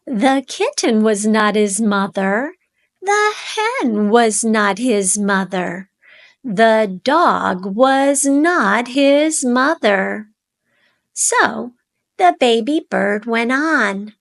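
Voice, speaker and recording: A high-pitched woman narrates a storybook for young children. She takes it very slowly and deliberately, keeping phrases simple and speaking directly to her little listeners as their read-aloud host. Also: expressive.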